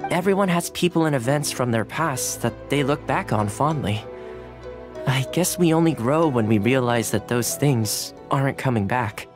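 A man's voice: mid-range voice, animated, normal speed, natural voice. An actor playing a kind, friendly young man in a video game, with a reassuring way of talking. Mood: reflective, sincere. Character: kind, upbeat young swordsman fond of dogs. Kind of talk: video game character dialogue. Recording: music behind the voice.

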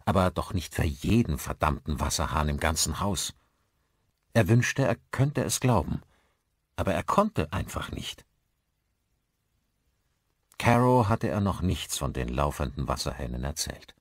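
A man narrates a suspense audiobook in a low register. His intonation is lively and varied, following the scripted prose. The recording is dry, with no room around the voice. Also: no echo.

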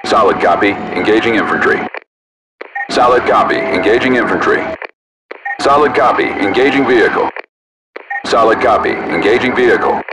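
A man voicing a brisk, businesslike armored vehicle crewman in a video game, speaking clipped, authoritative procedural chatter through a radio filter. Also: fairly low pitch, lively intonation. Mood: businesslike, focused. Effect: military radio filter. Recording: distant radio sound under loud vehicle noise.

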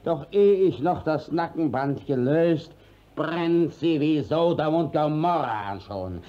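Slow, shifty village judge. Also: male voice, on the high side, lively intonation, mellow. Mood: flustered, evasive. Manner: hesitant, evasive, stammering with fillers. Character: shifty, blustering village judge covering up his misdeeds. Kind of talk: classic German radio play acting. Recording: dull old 1950s radio recording.

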